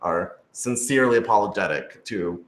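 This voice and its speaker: A roomy, echoing recording of a man with a fairly low voice in a casual question-and-answer chat. He talks informally, hedging his points and readily putting himself down. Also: lively intonation, normal speed, fairly bright tone. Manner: informal, hedging, self-deprecating. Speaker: radio drama writer and director answering questions. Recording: roomy, echoing room sound.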